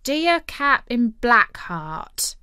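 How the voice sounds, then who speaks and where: Woman as a slow, declamatory pirate reader. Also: fairly high pitch, sing-song, pushed and bright, smooth, no echo, studio quality, heavily acted. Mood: formal. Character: pirate crewman carefully reading a formal letter aloud. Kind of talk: children's storybook reading.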